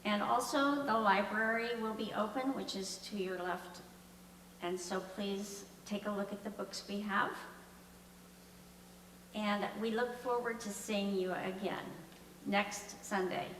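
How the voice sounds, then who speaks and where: A woman gives congregational announcements in a bright, forward voice. She speaks slowly, and the sound is distant from the mic with a long hall reverb. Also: medium pitch, fairly even intonation. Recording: echoey hall, distant mic, background noise.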